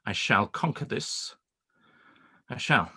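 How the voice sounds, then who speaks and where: An actor playing a proud, reserved young gentleman in a radio play reading, clipped and restrained. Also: fairly low pitch, expressive, takes it slowly, gravelly, breathy edge, exaggerated voice. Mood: determined. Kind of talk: live-read radio play.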